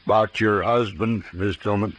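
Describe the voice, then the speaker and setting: Low-voiced, folksy frontier rancher played by a man. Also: fairly even intonation, normal speed, lo-fi sound, lightly acted. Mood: grave, awkward. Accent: American. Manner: folksy rural drawl, plain-spoken. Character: stubborn, matter-of-fact frontier rancher leading a lynching. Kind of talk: 1950s radio western acting. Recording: noisy old 1950s radio recording.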